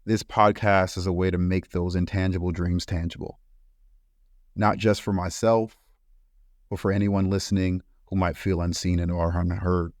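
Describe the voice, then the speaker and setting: A man from Brooklyn, a podcast co-host reading a solemn, deliberate reflection. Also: low-pitched, flat delivery, no room sound, clean studio sound. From Brooklyn, New York. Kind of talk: scripted reflective monologue.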